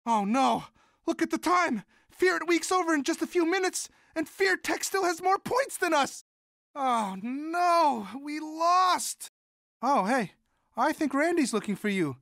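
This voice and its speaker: A man's voice: extremely high pitch, animated, a little slow, gravelly, fairly bright tone, dry recording, clean studio sound, heavily acted. A man voicing a timid, sweet, eager young student monster in a video game, boyish, polite, earnest and hesitant. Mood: dismayed. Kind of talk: video game character voice lines.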